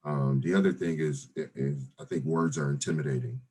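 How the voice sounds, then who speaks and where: A man around forty with a deep voice, talking casually as a guest on an interview podcast, with many fillers and restarts. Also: brisk, mellow, breathy edge. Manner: laid-back, many fillers and restarts, says "brother" and "right?". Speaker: real estate investor guest.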